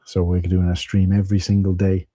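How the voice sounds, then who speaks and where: A middle-aged man talks through a live stream in a husky, low, fairly flat voice. His tone is very dark and mellow, and the sound is dry and close. He rambles casually, with plenty of fillers and restarts. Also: a little fast, no echo. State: husky from tiredness.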